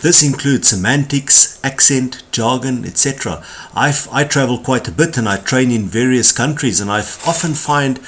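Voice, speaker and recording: A man's voice: mid-range voice, normal speed, fairly bright tone. A man with a South African accent giving a communication skills tutorial, explaining ideas in a conversational way with emphatic asides. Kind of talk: tutorial lecture.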